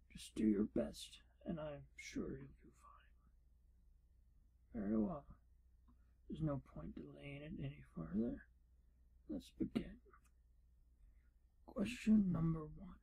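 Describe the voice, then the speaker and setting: Wizard exam proctor, played by a man: pompous and formal, very soft dark husky whisper, heavy creak, for ASMR role-play. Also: high-pitched, full character voice, rough recording. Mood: formal, encouraging. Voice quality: hushed, breathy, husky. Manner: formal, deliberate, theatrical.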